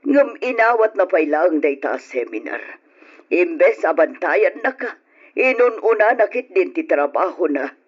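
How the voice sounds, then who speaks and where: A woman's voice: lowish voice, big pitch swings, a little slow, husky, full character voice. An actress playing a concerned, disapproving older mother in an old radio drama. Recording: dull, lo-fi radio drama recording.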